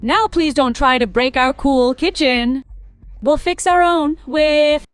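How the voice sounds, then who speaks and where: A woman's voice: high-pitched, slow, dry recording, studio quality. A woman playing an aggrieved young daughter-in-law in a family cartoon, speaking emphatically and reproachfully. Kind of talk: dramatic cartoon story dialogue.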